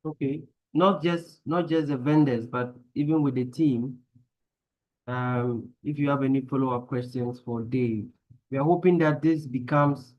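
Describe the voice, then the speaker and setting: Male webinar moderator, measured, dull call audio. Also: medium pitch, steady tone. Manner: measured, urging participants to ask questions. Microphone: video call.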